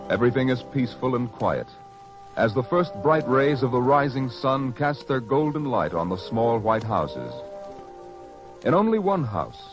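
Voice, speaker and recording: A man narrating a 1940s radio drama in a dramatic, measured announcer style. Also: medium pitch. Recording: old lo-fi radio recording with music.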